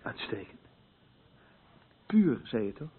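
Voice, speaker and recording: An actor in a radio play playing a hospitable, protective friend who speaks frankly. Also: male voice, mid-range voice, big pitch swings, slow pace, breathy edge, exaggerated voice. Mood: welcoming. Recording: thin phone-like old radio recording, noisy.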